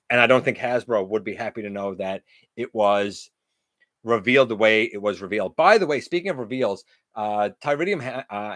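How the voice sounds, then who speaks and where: A middle-aged man with a loud, carrying voice hosts a casual livestream, chatting and answering viewers' comments. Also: medium pitch, no room sound, studio quality. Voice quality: loud voice that carries. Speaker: livestream host; teacher. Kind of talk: casual live-stream chat with viewers.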